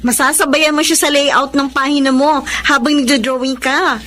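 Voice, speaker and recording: A caring Filipino friend in a radio drama. She has a high voice and an expressive, encouraging delivery. Faint noise sits behind her. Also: normal speed. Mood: bright, encouraging. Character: caring, encouraging female newspaper colleague and friend.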